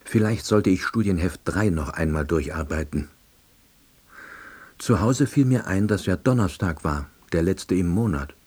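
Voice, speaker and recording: A self-important, awkward salesman narrates a radio drama in a low, husky voice. His delivery is dry, earnest and full of bureaucratic wordiness. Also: expressive, unhurried, a little mellow, full character voice. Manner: dry, wordy, officialese.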